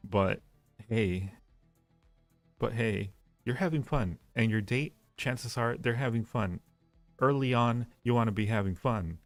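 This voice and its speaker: A man hosting a livestream, chatting casually with viewers in a rambling way, often repeating phrases as he goes. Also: on the low side. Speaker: livestream host. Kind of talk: casual chat with live stream viewers, rating ideas. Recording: roomy echo, faint music behind. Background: faint music.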